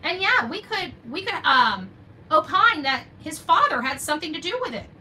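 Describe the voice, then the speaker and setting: A woman's voice: high-pitched, big pitch swings, normal speed, pushed and bright, slight background noise. An older woman hosting unscripted opinion commentary, emphatic and repeating key phrases for stress. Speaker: true-crime commentary host.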